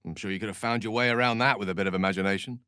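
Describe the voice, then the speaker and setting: A man's voice: lowish voice, steady tone, very fast, noisy background, natural voice. A man voicing an articulate, bookish human wizard in a video game, wry and gallant, with theatrical, wordy delivery. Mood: wistful, courteous. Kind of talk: video game character acting.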